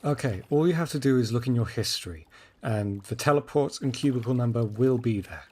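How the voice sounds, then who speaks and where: An actor as a cautious young man guiding a friend in an audio drama. His voice is husky and lively in pitch. He explains things earnestly and gets flustered easily. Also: fairly quick. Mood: helpful.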